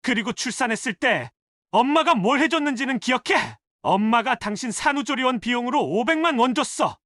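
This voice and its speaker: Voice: mid-range voice, lively intonation, a bit bright, no echo, studio quality, close to an everyday voice. An actor playing an indignant adult son scolding a spouse with pointed, accusatory questions in a dramatized family story. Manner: accusatory, rapid rhetorical questioning. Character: indignant adult son scolding his wife over his mother.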